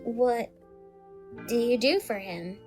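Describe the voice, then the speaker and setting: A woman's voice: a little high, slow pace, a little mellow, soft background music. A woman voicing a dim, cheerful robot henchman who speaks hesitantly.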